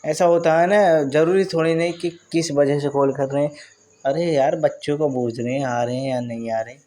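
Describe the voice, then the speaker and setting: A man's voice: on the high side, steady tone, normal speed, mellow, lightly acted. A man playing a flirtatious married man in a casual staged phone chat, teasing with sly double meanings. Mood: confiding.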